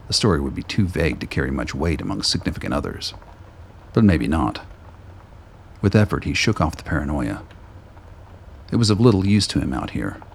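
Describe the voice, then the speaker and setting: A man reading scripted narration for a radio play in a deep, gravelly voice. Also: slight background noise.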